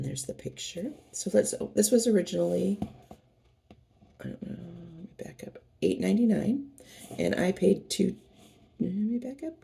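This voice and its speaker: A middle-aged woman with a hoarse voice from a cold, chatting casually and rambling as she unboxes craft kits for her channel. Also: mid-range voice, dark tone, slight background noise. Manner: rambling, chatty, many fillers and restarts.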